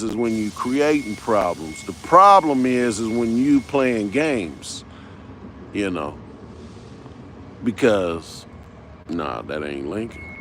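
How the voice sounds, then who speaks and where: Rambling fifty-year-old man, slow drawl. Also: lowish voice, fairly bright tone, slight background noise. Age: 50s. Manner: rambling, street slang, repeats himself. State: unwell, tired. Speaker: livestream host. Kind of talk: casual rambling livestream talk.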